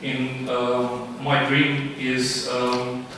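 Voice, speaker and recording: A man from Romania pitching his startup live, halting often with fillers and restarts. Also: completely flat delivery, background noise. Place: event room. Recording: echoey room, distant mic.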